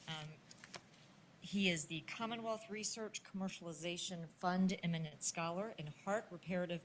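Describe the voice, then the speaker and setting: Low-voiced woman introducing a speaker. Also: pushed and bright, slight background noise. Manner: reads credentials with ums and restarts. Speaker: moderator.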